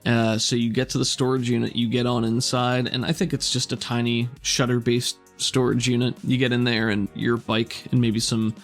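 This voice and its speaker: A man running a tabletop role-playing game as game master, narrating scenes in a relaxed, descriptive way. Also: mid-range voice, moderate pace, few pauses, soft background music.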